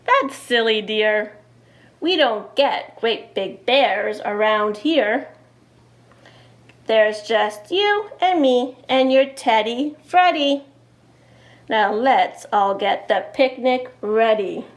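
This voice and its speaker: Woman playing a brisk, high-voiced storybook mum. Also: animated. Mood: brisk, dismissive. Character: brisk, dismissive storybook mother of a small boy. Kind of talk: children's picture-book read-aloud.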